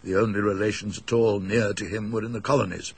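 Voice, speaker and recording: A man's voice: on the low side, fairly even intonation, fast talker, gravelly, breathy edge, exaggerated voice. A man voicing a kindly, talkative elderly English rector in a ghost story, musing and hesitant, with donnish emphasis. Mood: regretful. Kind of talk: dramatised ghost story reading.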